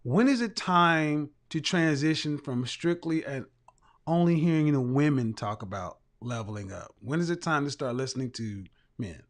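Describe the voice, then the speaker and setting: A man's voice: fairly high pitch, lively intonation, normal speed. A man hosting a casual live-stream chat, blunt and rambling, talking. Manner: blunt, rambling, with frequent restarts and profanity. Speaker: livestream host and image consultant.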